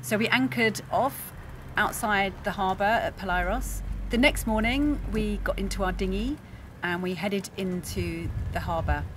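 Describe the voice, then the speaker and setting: A woman in her forties chats to camera as a travel vlogger. Her voice sits at a middling pitch. She was recorded outdoors by the water, with clear ambient noise around her. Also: unhurried. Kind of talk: casual vlog narration to camera. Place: outdoors on a harbour quayside.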